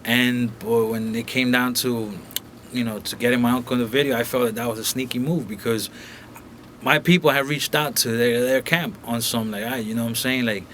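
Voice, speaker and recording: Young man from Colombia, interview guest: blunt, profane, streetwise, mid-pitched, faint hiss in a studio. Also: steady tone, fairly quick, a bit bright. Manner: streetwise, blunt, profane, with frequent filler phrases. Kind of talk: casual interview talk. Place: recording studio.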